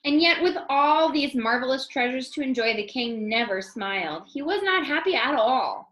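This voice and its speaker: A woman reading a children's storybook aloud in an expressive storytelling style. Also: high voice, moderate pace, echoey room, rough recording. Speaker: storybook reader.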